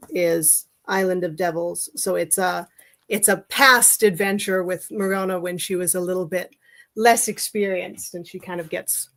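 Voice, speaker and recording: A woman chatting casually as an interview guest, brief and understated. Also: mid-range voice. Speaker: guest, fiction writer and artist.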